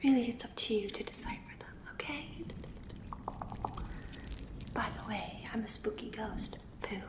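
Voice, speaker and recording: A woman's voice: fairly high pitch, unhurried, roomy sound. A woman voicing a playful, teasing ghost who poses riddles in an ASMR roleplay, repeating words over and over in a coaxing way. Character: playful, teasing female ghost guarding a secret passage with riddles.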